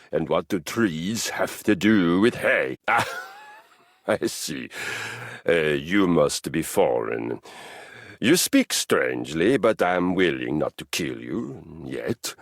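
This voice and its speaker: A man playing a brash, battle-loving Viking warrior in a video game, boastful and theatrical, with gravelly voice and pompous archaic phrasing. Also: deep voice, no echo, clean studio sound, full character voice. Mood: haughty, wary. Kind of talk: video game character voice acting.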